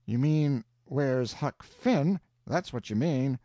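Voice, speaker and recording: A man as a stern, sharp-tongued older aunt. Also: mid-range voice, animated, takes it slowly, no room sound. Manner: stern, sardonic.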